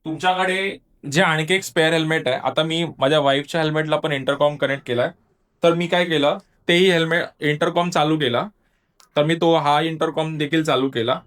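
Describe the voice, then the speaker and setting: A man's voice: on the high side, fairly even intonation, a little fast, fairly bright tone. A man giving a casual product review, explaining step by step and talking straight to viewers. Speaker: motovlogger reviewing gear.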